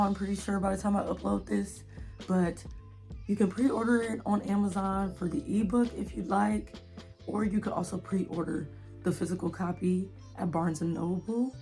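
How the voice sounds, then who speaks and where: A woman in her twenties chatting casually and excitedly, with giggles, as a book vlogger. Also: mid-range voice, creaky. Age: 20s. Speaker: indie author and book vlogger. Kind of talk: casual chatty video blog, book promotion.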